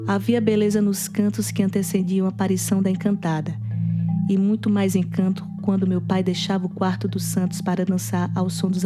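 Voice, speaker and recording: Reader: woman, low steady delivery, measured literary reading, music bed. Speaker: reader, singer-songwriter.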